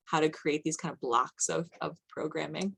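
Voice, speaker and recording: A woman's voice: low-pitched, fairly even intonation, moderate pace, creaky, a bit bright, slightly rough. A woman speaking as a panelist in a casual, reflective and unscripted way.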